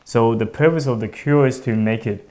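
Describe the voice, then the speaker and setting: A man in his thirties hosts a fishing show from a bright indoor studio. He talks in a relaxed, hesitant way, full of ums, and his sentences often trail off softly. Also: medium pitch, moderate pace. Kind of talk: casual gear explanation.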